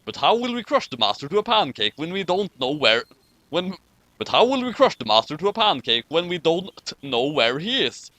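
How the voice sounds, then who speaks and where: A man's voice: fairly high pitch, sing-song, normal speed, sharp tone, dry recording. A man voicing a puzzled, plotting time-traveller in a theatrical comic read-aloud of fan fiction. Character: male time-traveller, puzzled, planning revenge on villain.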